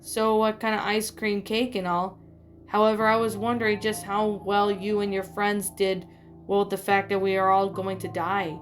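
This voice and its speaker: A gloomy, morbid boy who lectures in a slow, deadpan tone, as a woman reads him. He speaks quietly and flatly when he is calm. Soft guitar plays faintly underneath. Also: mid-range voice, close to an everyday voice.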